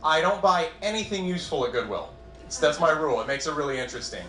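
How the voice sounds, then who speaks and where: A man's voice: fairly high pitch, lively intonation, quick pace, sharp tone, slight background noise. A man in his twenties from New England with a New England accent, doing a wry, self-deprecating stand-up set on a comedy club stage. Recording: echoey club room.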